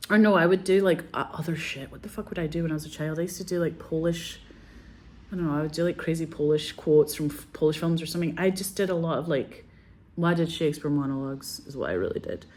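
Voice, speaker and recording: A woman's voice: low voice, fast, a little mellow. A woman in her forties telling stories in a loose, chatty way, full of fillers, laughs and swearing. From Scotland. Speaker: filmmaker guest. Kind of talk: casual storytelling.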